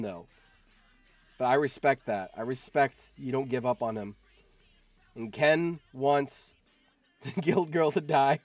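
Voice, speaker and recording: A man with a mid-pitched voice hosts a casual livestream. He thinks aloud and repeats himself as he addresses his viewers. The sound is close and dry, with music playing behind him. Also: dry recording. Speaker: livestream host.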